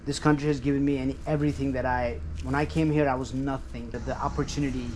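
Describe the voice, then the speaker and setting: A man's voice: medium pitch, level tone, quick pace, noisy background. A man from Nepal, chatting earnestly in a casual travel vlog and often restarting his sentences. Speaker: travel vlogger.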